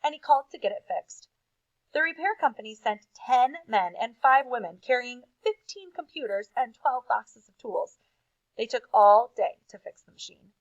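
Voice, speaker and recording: A woman reading aloud to children as a teacher, with expressive emphasis and casual asides. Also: fairly high pitch, normal speed, no room sound, lo-fi sound.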